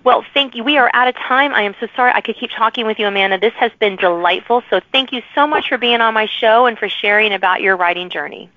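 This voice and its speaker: A woman's voice: fairly high pitch, quick pace, hardly any pauses, no room sound, narrow, tinny audio. A woman hosting a podcast, talking in a casual, chatty, informal way with emphatic asides. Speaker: podcast host. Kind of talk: casual interview chat.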